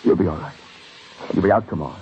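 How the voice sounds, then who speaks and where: An actor playing an intense, anguished police detective with an urgent, clipped manner in an old radio crime drama. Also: male voice, low voice, quick pace, natural voice. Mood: tender, weary. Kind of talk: mid-century radio crime drama acting. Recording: muffled, hissy old radio recording.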